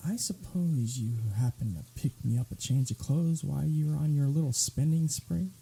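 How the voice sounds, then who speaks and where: A man's voice: a little high, moderate pace, gravelly, dark tone, husky, heavily acted, low-quality recording. A man playing a smug, centuries-old vampire in an intimate ASMR roleplay, teasing with a sarcastic drawl. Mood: exasperated, teasing.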